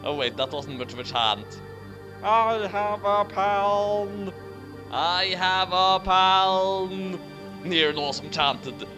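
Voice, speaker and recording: A man's voice: high voice, slow pace. A man co-hosting a comic dramatic fan fiction reading, hammy in delivery, with casual asides. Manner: animated, hammy when reading aloud. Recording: music clearly playing behind the voice.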